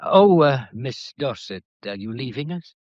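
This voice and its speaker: An actor playing a genial but firm, shrewd Scottish police inspector in a radio drama, folksy, unhurried and authoritative. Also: male voice, medium pitch, expressive, darkish tone, no echo, muffled recording, natural voice. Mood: polite, firm.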